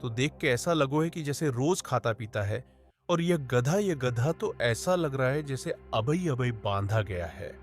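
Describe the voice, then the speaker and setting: A man's voice: medium pitch, lively intonation, a little slow, faint music behind, close to an everyday voice. A man voicing a poor, hardworking young village man who speaks in rustic village speech and exclaims often, in a cartoon story. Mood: astonished.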